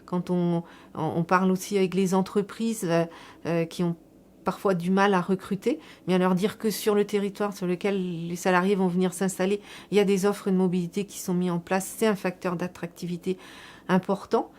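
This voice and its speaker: A woman speaking as a local elected official, explaining a public project in a measured, semi-prepared interview style with repeated words for emphasis. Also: on the low side, level tone, a little mellow.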